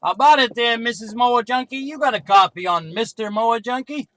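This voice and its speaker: A man's voice: very high voice, brisk, fairly bright tone. A man talking casually as a CB radio operator, making brief two-way range-check calls.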